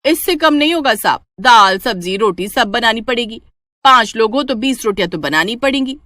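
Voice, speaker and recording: A woman's voice: on the high side, animated, normal speed, bright, forceful tone, dry recording, studio quality, pitched above the performer's own voice. A woman voicing a wheedling, insistent housemaid full of excuses in a family story. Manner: insistent, pleading. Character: wheedling, excuse-making housemaid demanding money.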